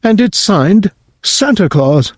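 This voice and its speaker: A man's voice: sits high, expressive, slow, no room sound, clean studio sound, pitched above the performer's own voice, exaggerated voice. A man voicing a proud, poor peasant father who speaks sternly and reassuringly. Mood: reading aloud.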